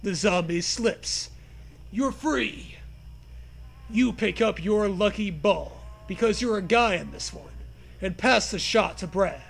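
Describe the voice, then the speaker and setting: Man, high gravelly voice, unhurried, crude joking banter, comic storybook narration. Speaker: co-host reading a comic story. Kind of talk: comedic read-aloud with casual banter.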